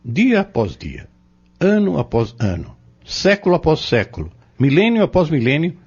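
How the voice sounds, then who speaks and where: A man with a Brazilian accent playing a calm, kindly pharmacist who teaches young men in a measured, solemn way in an audio drama. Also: fairly high pitch, breathy edge, lo-fi sound, exaggerated voice. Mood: solemn, teaching.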